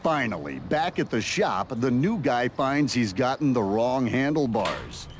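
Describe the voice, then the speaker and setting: An actor playing a narrator of a reality show in a video game, delivering scripted, dramatic story links. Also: male voice, medium pitch, very animated, unhurried, slight background noise. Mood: teasing drama.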